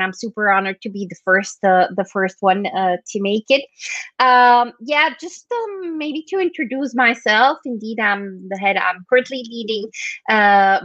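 A woman's voice: medium pitch, bright, forceful tone, no room sound. A woman in her forties, originally from Colombia, speaks as a podcast guest, stopping often with fillers and restarting her sentences. Her voice comes through dull and lo-fi. The sound is dry, with no room around it. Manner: hesitant, many fillers and restarts. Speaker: guest, marketing leader. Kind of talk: podcast interview.

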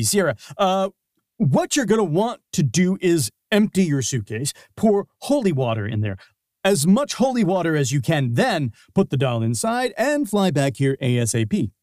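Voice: mid-range voice, darkish tone, no echo, studio quality. A co-host in a comedic audio sketch, talking in a greedy, scheming way with hesitant fillers.

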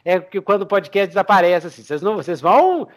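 A man's voice: sits high, quick pace. A man with a Brazilian Portuguese accent hosting a casual podcast chat, telling stories and repeating phrases as he goes. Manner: animated storyteller, repeats phrases, slips into character voices. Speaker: podcast host. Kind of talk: casual chat with RPG tips.

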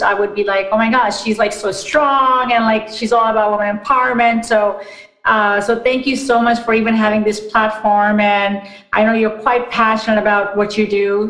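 A woman's voice: medium pitch, a bit bright. A woman from Pakistan, raised in the US, guesting on a podcast and chatting in an upbeat way with lots of "like" and "you know". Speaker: podcast guest, wellness business founder. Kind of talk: casual interview chat. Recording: echoey room.

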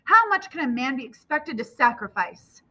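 A stern father from a storybook, played by a woman reader. The voice is forceful and sharp, firm and commanding, with grief under its emphatic orders. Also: fairly high pitch, expressive, slow pace, voice pushed up, heavily acted. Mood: angry, anguished.

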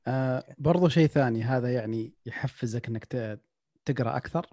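A man's voice: mid-range voice, brisk, mellow, no room sound, clean studio sound. A man hosting a casual podcast chat, reflective, slipping English phrases into his talk. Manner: reflective, mixes in English phrases, many restarts.

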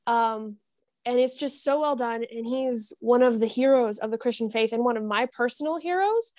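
A woman's voice: fairly high pitch, moderate pace, a little mellow, no echo, studio quality. A woman guest on a podcast, talking casually and enthusiastically. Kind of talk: casual chat.

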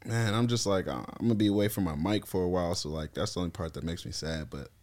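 Young man, low voice, laid-back slangy talk, casual podcast guest. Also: fast talker, a little mellow. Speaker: rapper guest.

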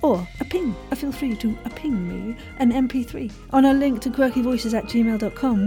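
High-voiced, effusive woman podcast host. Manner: effusive, playful, theatrical. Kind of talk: enthusiastic casual podcast chat.

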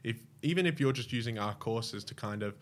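A young Australian man chatting in a relaxed, rambling way as an interview guest, with frequent restarts and fillers. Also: mid-range voice, a little fast. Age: young adult. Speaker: guest, staff member. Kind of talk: casual interview chat.

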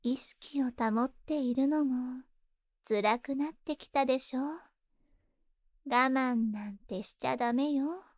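A woman's voice: high-pitched, measured pace, a little mellow, no room sound, clean studio sound. A woman playing a seductive, possessive spider monster in a Japanese ASMR voice drama, tender and coaxing, lingering on her words. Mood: possessive, hungry. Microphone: close ASMR microphone in a dry studio.

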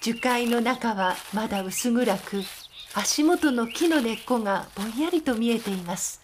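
A woman's voice: fairly high pitch, a little hiss or hum. A woman narrator reading scripted storytelling narration for an audio drama.

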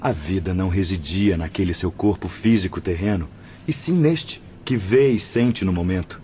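Man acting a deep, solemn Brazilian spirit surgeon. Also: dark tone, a little hiss or hum, lightly acted. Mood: solemn, assured. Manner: solemn, deliberate, declamatory. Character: devout, compassionate male spirit surgeon, solemn and assured. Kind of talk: radio drama acting. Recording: dull lo-fi radio drama, music behind.

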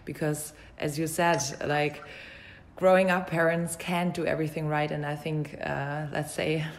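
Low-voiced, even-toned woman interviewer. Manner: supportive, thoughtful, measured. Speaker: interviewer, host. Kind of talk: reflective, conversational interviewing.

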